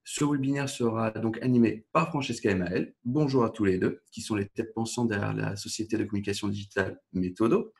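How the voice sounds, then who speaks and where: A man's voice: lowish voice, fairly quick, gravelly, hardly any pauses. A man hosting a live webinar, making orderly welcome announcements. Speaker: webinar host.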